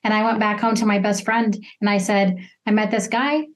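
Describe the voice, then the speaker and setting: A woman with a mid-pitched voice speaks as an interview co-host. She chats in a casual, conversational way, as in relaxed personal storytelling. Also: a little fast.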